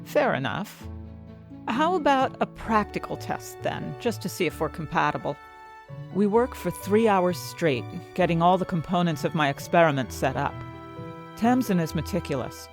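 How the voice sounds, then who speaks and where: A woman's voice: on the low side, lively intonation, moderate pace. A woman playing an ambitious, indignant fantasy inventor, narrating her own story expressively and articulately in an audio drama. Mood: probing, then focused. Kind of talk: first-person dramatic audio fiction narration. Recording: music bed behind the voice.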